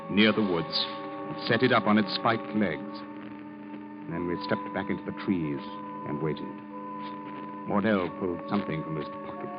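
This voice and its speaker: An actor playing an uneasy, weary ex-soldier in old radio drama, speaking and narrating in a grave, restrained way. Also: male voice, lowish voice, natural voice. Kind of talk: 1940s-50s radio drama acting and narration. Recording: dull old radio recording with music.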